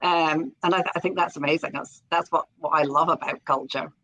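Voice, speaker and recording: A middle-aged woman with a Scottish accent and a lowish voice talks in a live online interview. She is eager and conversational, often tripping over repeated words as she gets her thoughts out. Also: fairly even intonation, a little fast, a bit bright, low-quality recording. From Scotland. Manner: enthusiastic, repeats words when starting sentences. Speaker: guest.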